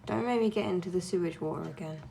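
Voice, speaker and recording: A woman's voice: on the low side, fast talker, gravelly, warm tone, slight background noise. A young woman streaming while she draws, chatting casually in a blunt, sweary, ranting way. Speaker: streamer and artist.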